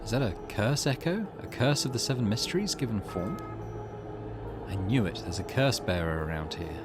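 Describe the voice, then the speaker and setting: A man's voice: lowish voice, lively intonation, moderate pace. A man hosting a let's play, chatting casually, thinking aloud and reading game text out as he goes. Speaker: gaming channel host. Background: game music.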